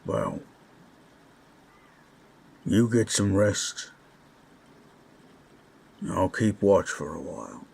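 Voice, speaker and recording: A low-voiced, husky orc played by a man speaks at an unhurried pace. He is gruff but kindly, a little awkward and shy, with halting, folksy phrasing suited to an intimate fantasy audio drama. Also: very animated, a little mellow, heavily acted. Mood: tender, protective. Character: gruff, kindly, bashful male orc companion.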